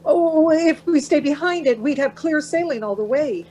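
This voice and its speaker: An actress playing a lighthearted, playfully teasing middle-aged wife and mother in a naturalistic radio play, with a husky voice. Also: sits high, lively intonation, normal speed, a little mellow, a little hiss or hum. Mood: curious, relaxed.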